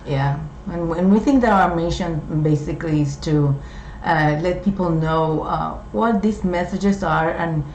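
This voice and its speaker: A middle-aged woman from Puerto Rico, with a Puerto Rican accent and Spanish as her first language, talking in a slow, halting way with many fillers. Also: fairly low pitch, darkish tone, slight background noise. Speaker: co-host. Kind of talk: casual chat. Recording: dull, echoey room recording.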